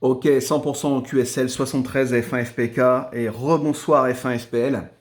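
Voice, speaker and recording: A man's voice: medium pitch, fairly even intonation, normal speed. A man talks casually as a radio amateur, explaining things in a hesitant way. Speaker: amateur radio operator and video maker. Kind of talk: casual ham radio conversation.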